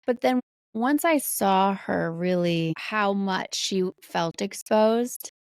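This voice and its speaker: An American woman speaking as a podcast guest in casual conversation, candid and animated, often acting out little quotes. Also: mid-range voice, takes it slowly, smooth, dry recording, studio quality.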